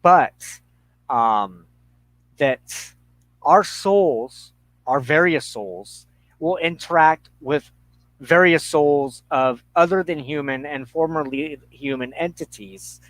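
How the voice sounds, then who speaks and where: A middle-aged man in a reflective conversation, speaking haltingly and thoughtfully with pauses mid-sentence. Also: fairly high pitch, a bit bright, dry recording, poor audio.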